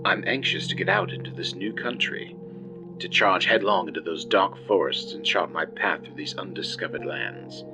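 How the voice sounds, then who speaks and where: An actor playing an ambitious, pompous colony administrator in an audio drama, speaking in a formal, grandiloquent and theatrical way. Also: male voice, sits low, moderate pace, natural voice. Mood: eager, grand. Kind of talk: audio drama message monologue. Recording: dull lo-fi audio under music.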